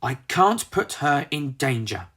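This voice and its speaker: Brief: narrator plays a protective mother hen, firm and worried, widely swinging pitch, storybook. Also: sing-song, unhurried, fairly bright tone, breathy edge, full character voice. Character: protective, anxious mother hen, brave leader.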